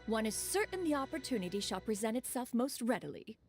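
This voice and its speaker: A woman's voice: a little high, big pitch swings, fairly quick, darkish tone, husky, soft background music, exaggerated voice. A woman voicing an aloof immortal who speaks in formal, old-fashioned third person, in a game cutscene. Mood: stately.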